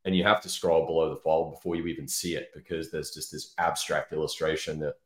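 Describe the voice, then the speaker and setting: Very deep-voiced man in a roomy space. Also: flat delivery, brisk. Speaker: interview guest, head of product marketing. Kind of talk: casual expert interview talk. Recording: roomy, echoing sound.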